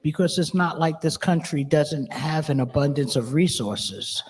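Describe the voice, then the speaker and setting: A woman panelist with a husky voice, making direct, emphatic, plain-spoken remarks into a handheld mic on an auditorium stage. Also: low voice, a little slow, a little mellow. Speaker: panelist, activist. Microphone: handheld microphone. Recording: reverberant hall sound.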